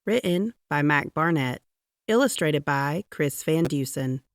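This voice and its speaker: A woman narrating a children's picture book aloud in a dramatic, deliberate, expressive way. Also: low voice, no echo, clean studio sound. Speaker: storybook reader.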